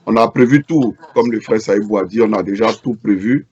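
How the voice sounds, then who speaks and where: A man organizing a protest, adding remarks haltingly with repeated words. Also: lowish voice, a little mellow, husky, lo-fi sound.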